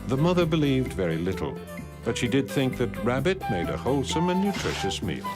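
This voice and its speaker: A man narrating an animated storybook tale in a wry, deadpan, scripted storyteller's manner. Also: medium pitch, a little fast. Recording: music behind the voice. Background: music.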